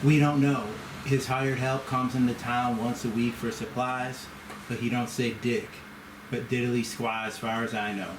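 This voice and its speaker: Man playing an elderly folksy Southern gentleman boss, echoey. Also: medium pitch, level tone, unhurried, noisy background, lightly acted. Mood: gossipy, grave. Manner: courteous, folksy, conspiratorial. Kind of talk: amateur screenplay table read.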